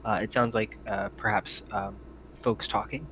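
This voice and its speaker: A man's voice: lowish voice, steady tone, normal speed, fairly bright tone. A man running an online roleplaying game, explaining scenes politely as he improvises the narration. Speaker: game master. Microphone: online voice chat mic. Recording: dull lo-fi mic with faint noise.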